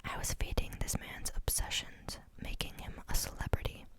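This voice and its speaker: A woman reading a book aloud in a soft, unvoiced whisper, steady but sometimes stumbling on a word and starting it again. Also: moderate pace. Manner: reads steadily, stumbles and restarts on words. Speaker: reader.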